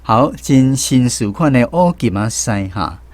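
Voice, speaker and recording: A man's voice: mid-range voice, expressive, measured pace, a little mellow, no echo. A man with a Taiwanese accent hosting a language lesson segment on the radio as quizmaster. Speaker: radio show host and quizmaster.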